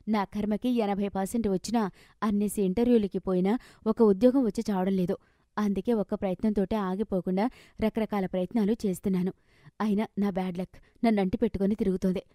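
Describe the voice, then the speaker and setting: A woman voicing a frustrated, bitter young daughter in an animated story, complaining emphatically. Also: mid-range voice, fairly quick, dry recording, clean studio sound, close to an everyday voice. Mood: bitter complaint.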